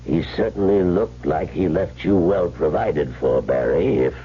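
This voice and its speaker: An actor playing a sombre, resigned family doctor in a radio drama. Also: male voice, low-pitched, a little slow, a little hiss or hum. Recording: dull, humming old radio recording.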